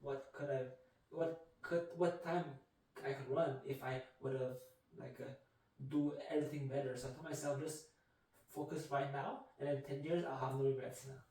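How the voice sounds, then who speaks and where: A man in his twenties from Canada, whose first language is Vietnamese, answering interview questions about running in a measured, reflective way. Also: medium pitch, steady tone, dark tone, a little hiss or hum. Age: 20s. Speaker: guest, marathon runner. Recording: echoey room, mic from a distance.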